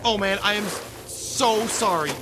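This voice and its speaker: A man's voice: sits high, background noise. An actor playing a nervous, tipsy male driver who stammers and halts as he talks. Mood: panicked. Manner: halting, stammering. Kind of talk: acted audio drama dialogue.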